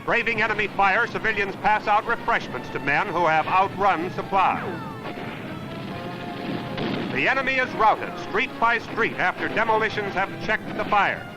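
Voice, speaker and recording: A man's voice: high voice, animated, moderate pace. An American man narrating a 1940s newsreel as commentator, in a dramatic, emphatic, declamatory style with clipped phrases. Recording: noisy 1940s newsreel soundtrack. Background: music, artillery fire and battle sounds.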